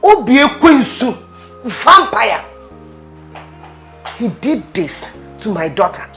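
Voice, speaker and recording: A woman plays an outraged mother defending her daughter. She speaks slowly, and her pitch swings widely with indignation. Faint music sits under her voice. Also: big pitch swings, fairly bright tone, full character voice. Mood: indignant. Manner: angry, indignant. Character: furious mother of the beaten daughter.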